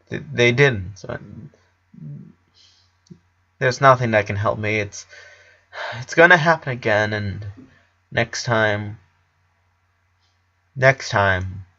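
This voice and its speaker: A man voicing a sick eleven-year-old boy in a story reading, weary and subdued, with halting speech. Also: lowish voice, gravelly, bright, forceful tone, lo-fi sound, full character voice. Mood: grave.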